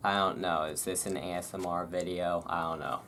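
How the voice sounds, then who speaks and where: Low voice doing a laid-back read aloud, kinda halting, rambly and self-deprecating. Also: fairly even intonation, fairly bright tone, slight background noise. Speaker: reader and host. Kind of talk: relaxed reading aloud with casual rambling asides. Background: thunderstorm ambience.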